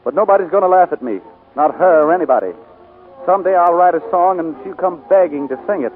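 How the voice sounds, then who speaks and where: An actor playing an earnest, proud young small-town songwriter in 1940s radio style. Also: male voice, high-pitched, lively intonation, quick pace, faint music behind. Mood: wounded, determined. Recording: tinny scratchy 1940s radio broadcast.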